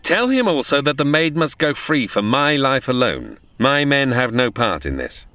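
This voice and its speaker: An actor playing a bold, commanding outlaw hero, declaiming in heroic old radio drama style. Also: male voice, medium pitch, sing-song, normal speed, bright, forceful tone, no echo. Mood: stern, noble. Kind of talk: old-time radio adventure acting, archaic English.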